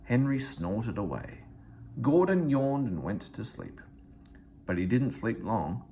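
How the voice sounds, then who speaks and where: A man reading a children's story aloud in an expressive, dramatized way. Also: medium pitch, takes it slowly, a little hiss or hum. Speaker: storybook reader.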